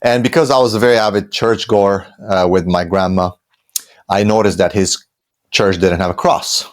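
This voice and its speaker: Guest, thirties, Romanian accent, low voice, rambling conversational storyteller. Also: male voice, fairly even intonation, normal speed. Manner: conversational, with frequent fillers and restarts. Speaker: podcast guest. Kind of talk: personal storytelling.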